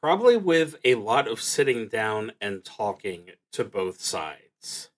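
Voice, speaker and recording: A man streaming a solo tabletop roleplaying game, musing aloud in a halting way and reading out prompts as he plays. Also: lowish voice, very animated. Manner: halting, musing, pauses mid-sentence.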